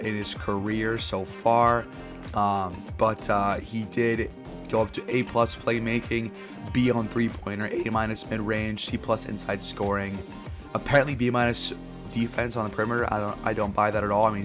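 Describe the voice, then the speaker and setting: A slightly hoarse man giving casual gameplay commentary, relaxed and full of fillers, louder and livelier when calling plays. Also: on the low side. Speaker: gaming YouTuber. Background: game music.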